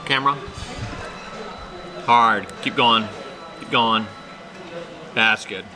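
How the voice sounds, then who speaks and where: A man in his fifties hosting a casual food vlog, chatty and playful, reacting loudly as he tastes sushi in a small restaurant. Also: medium pitch, drawn-out words, music in the background. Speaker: food vlogger host. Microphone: handheld camera close up. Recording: noisy restaurant room.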